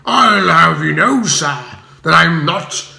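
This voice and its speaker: A man's voice: on the high side, animated, slow pace, vocal fry, sharp tone, reverberant, full character voice. A man voicing a haughty lord who blusters, pompous, emphatic and flustered. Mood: haughty, defensive. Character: haughty blustering English lord trying to sound tough.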